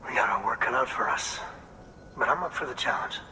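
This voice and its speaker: An actor playing an earnest, hopeful farmer and researcher in a video game cutscene. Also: male voice, mid-range voice, expressive, brisk, bright, forceful tone, in a large hall, slight background noise, low-quality recording. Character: hopeful middle-aged male farmer-scientist.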